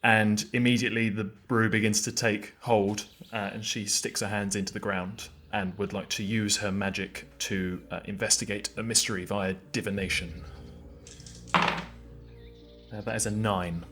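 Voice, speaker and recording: A man's voice: on the low side, flat delivery, normal speed, pushed and bright. A man playing a tabletop roleplaying game, describing his character's actions in dramatic third person. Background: faint background music.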